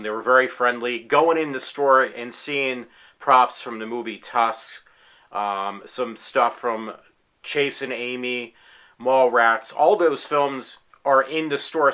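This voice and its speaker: A man's voice: mid-range voice, measured pace, pushed and bright. A man in his forties talking casually in his room at home, rambling with many fillers and restarts as an eager fan. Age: 40s. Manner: enthusiastic fan. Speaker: applicant in a tryout video. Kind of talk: casual self-recorded talk.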